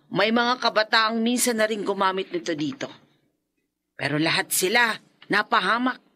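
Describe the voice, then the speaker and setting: A woman's voice: mid-range voice, bright, forceful tone. An actress playing a grave older woman who survived a haunting, speaking in a solemn, warning way in a radio drama. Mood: somber.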